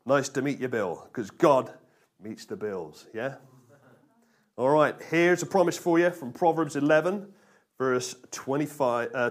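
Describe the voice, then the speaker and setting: Man preaching, informal and jokey. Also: medium pitch. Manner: colloquial and jokey, with rhetorical questions and tag questions. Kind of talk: informal sermon to a congregation.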